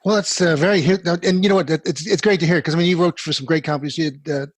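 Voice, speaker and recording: Podcast host guy, kinda high voice, rambles through his questions, dry sound. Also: quick pace, no room sound. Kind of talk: casual podcast interview.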